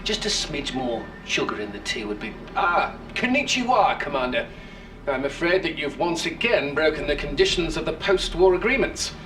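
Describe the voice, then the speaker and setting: Actor as a breezy, genteel British commander. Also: male voice, on the high side, animated, moderate pace, distant mic, noisy background, lightly acted. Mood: polite condescension. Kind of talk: video game cutscene villainy.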